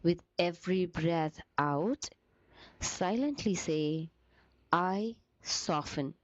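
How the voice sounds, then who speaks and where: A woman leading a guided meditation as a teacher, with gentle, soothing, deliberate phrasing broken by short pauses. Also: on the low side, no room sound, dull audio.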